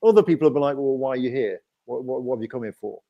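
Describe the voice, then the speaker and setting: A man's voice: mid-range voice, racing, gravelly, dull audio. A man with an English accent hosting a casual live-stream chat, explaining things at length with frequent restarts. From England. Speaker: host.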